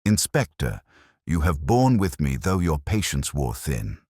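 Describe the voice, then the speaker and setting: A man voicing a grave, confident gentleman detective in a storybook, with measured, formal, authoritative speech. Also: rumbling low, big pitch swings, slightly rough, dry recording, clean studio sound, heavily acted. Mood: solemn. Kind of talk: audiobook character dialogue.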